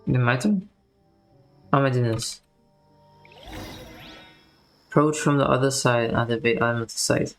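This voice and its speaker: A woman's voice: extremely low pitch, steady tone, moderate pace, pauses often, soft background music. A woman streamer giving casual game commentary in short, offhand remarks.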